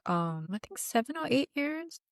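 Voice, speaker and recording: A woman's voice: high-pitched, big pitch swings, normal speed, dry recording, clean studio sound. A woman from Singapore chatting casually as an interview guest, talking in an informal, chatty way. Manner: chatty, informal, frequent "like" and "you know". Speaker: interview guest, fantasy novelist. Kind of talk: casual chat.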